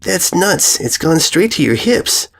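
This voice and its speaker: Brash young tomboy pony, blunt and teasing, pitched up, played by a male reader, for a storybook-style reading. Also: sing-song, measured pace, creaky, fairly bright tone, a little hiss or hum, full character voice. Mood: pushy. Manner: blunt, pushy, incredulous.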